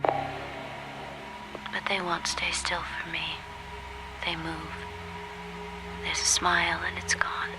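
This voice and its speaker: Audio drama narrator-heroine: subdued grieving captive, breathy near-whisper, unhurried delivery over prominent music. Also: female voice, on the low side, level tone. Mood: grieving, haunted. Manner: slow, hushed, introspective. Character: grieving captive handmaid, haunted, subdued and obedient. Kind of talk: audio drama inner monologue.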